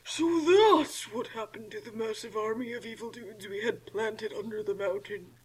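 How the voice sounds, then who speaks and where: A woman's voice: a little high, normal speed, slightly rough, poor audio. A woman voicing a villainous talking book that explains its schemes formally in a comic fan fiction reading. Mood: smug, explaining.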